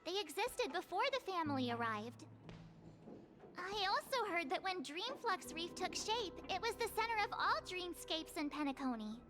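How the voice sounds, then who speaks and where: A woman's voice: very high-pitched, big pitch swings, normal speed. A woman voicing a small, earnest, childlike companion in a video game, with an eager, explanatory way of talking. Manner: eager, expository. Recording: faint game music behind.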